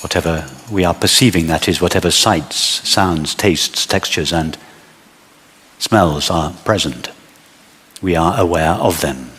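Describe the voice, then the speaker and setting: A man leading a guided meditation as a teacher, speaking in measured short phrases with long pauses. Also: sits low, a bit bright, breathy edge, long reverb tail.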